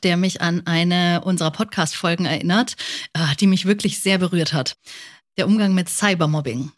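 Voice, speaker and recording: Woman hosting a conversational podcast. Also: lowish voice. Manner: engaged, addresses listeners directly and informally. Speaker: podcast host.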